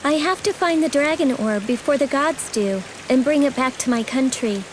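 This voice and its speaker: A woman's voice: high voice, animated, moderate pace, slight background noise. An actress playing a small, earnest, plucky young girl in a video game. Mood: earnest, insistent. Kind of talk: dubbed video game cutscene acting.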